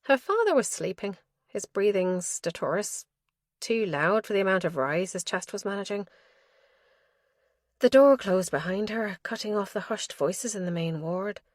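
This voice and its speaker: A woman's voice: medium pitch, expressive, moderate pace, dry recording, clean studio sound. A woman reading scripted narration as the narrator of a mystery audiobook.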